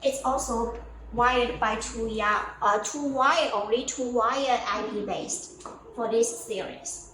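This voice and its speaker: A woman giving a spoken product walkthrough as a sales representative, in simple, halting phrases with repetitions. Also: on the high side. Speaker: company sales representative giving a showroom tour. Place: indoor product showroom. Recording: echoey room, distant mic, faint noise.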